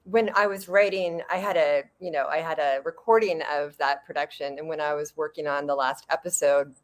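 Woman writer with a low-pitched voice. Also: fairly even intonation, brisk. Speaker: theatre writer on a discussion panel. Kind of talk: casual panel conversation. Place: indoor room at home, on a video call. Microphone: video call.

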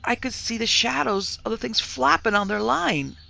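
Storybook maidservant, played by a man: timid country woman, scared and faltering, breathless gasps, raised pitch. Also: expressive, fairly quick, creaky, bright, forceful tone, full character voice. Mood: fearful, hesitant. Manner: gasping, faltering, fearful. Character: terrified, timid housemaid, a simple country woman. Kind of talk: audiobook character voice.

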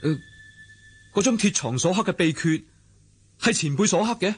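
An actor with a Hong Kong Cantonese accent playing an earnest, respectful young swordsman in a radio drama, excitable and polite. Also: high-pitched, normal speed, noisy background. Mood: respectful, puzzled.

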